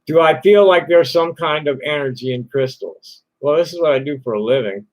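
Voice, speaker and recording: A man hosting a live call-in stream, rambling in a halting way with many fillers and restarts. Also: on the high side, a little mellow. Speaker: livestream host answering chat questions. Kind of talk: rambling casual chat. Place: Tucson, Arizona.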